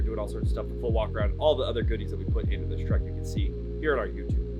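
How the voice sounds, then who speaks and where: An American man presenting a vehicle shop's video, giving a frank, informal, slangy product review in a casual style. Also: medium pitch.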